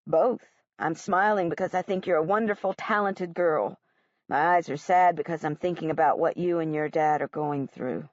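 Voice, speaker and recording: Woman playing a gentle male art teacher, lowered voice, soft, sorrowful, slow. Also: dry recording, lo-fi sound, close to an everyday voice. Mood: gentle sad.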